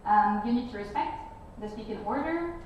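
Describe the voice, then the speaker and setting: A woman from Germany chairs an assembly with an informal, upbeat manner. Her medium-pitched voice rings heavily around a large room and is picked up from far off, with clear noise around it. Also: animated, normal speed, dull audio. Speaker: main chair of a student assembly. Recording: very echoey hall, distant, clear noise.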